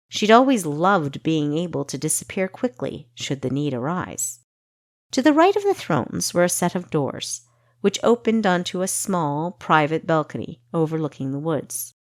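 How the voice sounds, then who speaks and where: A woman narrating a fantasy audiobook with expressive, measured storytelling. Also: fairly low pitch, no room sound, studio quality.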